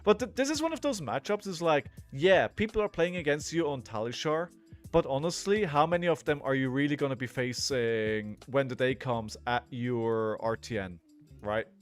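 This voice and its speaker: A man's voice: mid-range voice, normal speed, faint music behind. A man in his forties giving casual, rambling live commentary on a card game, thinking aloud and chatting to viewers. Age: 40s. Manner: rambling, thinking aloud, frequently checking in with "right?".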